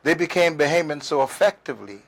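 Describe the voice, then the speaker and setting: A man's voice: fairly high pitch, animated, fast talker, vocal fry, background noise. A man, a guest historian explaining at length in a conversational interview, emphatic, with fillers and restarts. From Turks and Caicos Islands.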